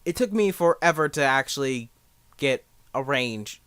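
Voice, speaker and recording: A man's voice: medium pitch, lively intonation, a little slow, fairly bright tone, smooth, no room sound. A young man giving a casual spoken tutorial in a rambling, jokey, self-deprecating way. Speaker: amateur voice actor giving beginner advice.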